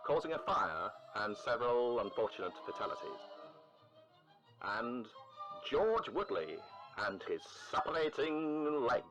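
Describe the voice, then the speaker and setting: A man's voice: mid-range voice, fairly bright tone, poor audio. A man playing a formal old-time newsreel announcer in a comedy parody, florid and mock-solemn. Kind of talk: vintage newsreel narration parody. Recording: music playing behind the voice.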